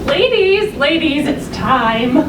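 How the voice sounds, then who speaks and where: A woman reading the part of a gushing, over-excited adoption trip leader in a staged play, perky and exclamatory. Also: takes it slowly, heavily acted. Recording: roomy, distant, loud room rumble.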